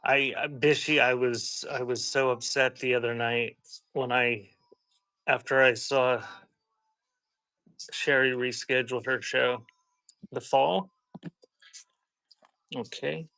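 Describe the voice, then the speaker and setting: A man's voice: mid-range voice, steady tone, slow, long pauses, bright, forceful tone, no room sound. A man hosting a live auction as the seller, talking in casual, rambling sales patter mixed with chatty stories. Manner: informal, rambling, conversational.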